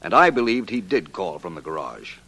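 A very low male voice carries this shrewd detective. He speaks with crisp assurance, setting out his logic point by point in the manner of a 1940s radio mystery. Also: very animated, fairly quick, fairly bright tone, heavily acted, rough recording. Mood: self-assured, explanatory. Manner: crisp, confident, methodical explaining.

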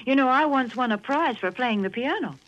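Woman announcer, persuasive, lively pitch, dull old radio sound. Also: brisk. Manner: cheerful, persuasive. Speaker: co-host and commercial announcer. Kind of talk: 1940s radio sponsor reading. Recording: dull 1940s radio broadcast.

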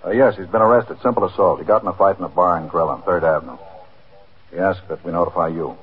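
An actor playing a businesslike, courteous police desk lieutenant with a brisk official manner in a 1950s radio drama. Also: male voice, deep voice, lightly acted. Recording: tinny, narrow 1950s radio recording.

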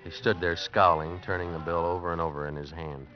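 A man's voice: low voice, little pitch movement, brisk, lightly acted. An actor playing a wary, streetwise American man narrating a risky shady deal in a vintage radio drama. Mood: tense, brooding. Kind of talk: 1940s-50s radio drama acting, hardboiled first-person narration. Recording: dull vintage radio recording with music behind.

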